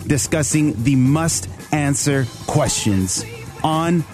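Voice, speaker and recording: A man's voice: a little high, expressive, slow pace. A man reading a scripted promotional introduction for a radio show. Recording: voice over loud guitar music. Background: guitar music.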